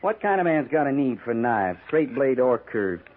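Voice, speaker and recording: An actor playing a folksy frontier soldier who goads coolly and slyly in a 1950s western radio drama. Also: male voice, medium pitch, big pitch swings, normal speed. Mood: cool needling. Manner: folksy, slyly goading understatement. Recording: thin, tinny 1950s radio recording.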